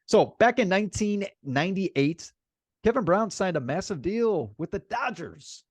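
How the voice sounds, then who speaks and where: Male sports podcast co-host, raised pitch, dry recording, emphatic and repetitive delivery, conversational. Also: animated, measured pace, no echo. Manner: emphatic, repeats phrases for effect. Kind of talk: casual sports chat.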